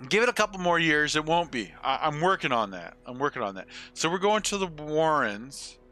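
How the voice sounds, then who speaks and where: A man's voice: fairly high pitch, big pitch swings, a little fast, sharp tone, soft background music. A man in his forties chatting casually and rambling with some salty swearing as he streams a game. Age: 40s. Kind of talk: casual live-stream chat with gameplay commentary.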